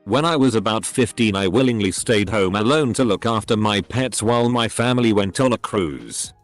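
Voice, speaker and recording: A man reading short online stories aloud as scripted narration, one after another in an even storytelling delivery. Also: fairly low pitch, moderate pace, faint music behind.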